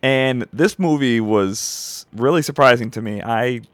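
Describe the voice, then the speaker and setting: A man's voice: mid-range voice, a little slow, no room sound. A man co-hosting a podcast, giving his opinions on movies in casual, wry chat. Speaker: podcast co-host.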